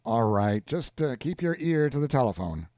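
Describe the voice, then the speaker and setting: Male reader voicing an impatient, gruff operator for a storybook reading; short and clipped, dry sound. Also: mid-range voice, very animated, moderate pace, darkish tone, dry recording, lightly acted. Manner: gruff, curt. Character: gruff telephone exchange operator.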